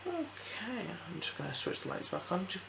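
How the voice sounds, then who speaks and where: A soft-spoken person performing an ASMR eye exam roleplay as an optician, giving gentle instructions with hesitant pauses. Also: mid-range voice, big pitch swings, gravelly, noisy background.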